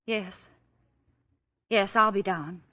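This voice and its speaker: An actress in an old-time radio drama playing a distressed, guilt-ridden woman with a halting, pleading manner. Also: mid-range voice, natural voice. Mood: subdued, uneasy. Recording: muffled old radio recording.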